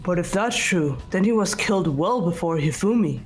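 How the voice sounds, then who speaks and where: A woman playing a composed, logical student detective in an amateur game dub, speaking in a measured way over music. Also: on the low side, animated, a little mellow, natural voice. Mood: calm, analytical. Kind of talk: scripted amateur visual novel voice acting. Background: background music.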